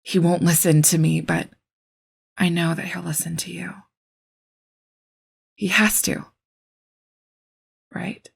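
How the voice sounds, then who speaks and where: Wounded, controlling matriarchal type, played by an actress: extremely breathy, slow, low, hushed drama delivery. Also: female voice, little pitch movement, heavily acted. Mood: confiding, worried. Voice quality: breathy, creaky. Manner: hushed, deliberate, hesitant. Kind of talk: audio drama acting.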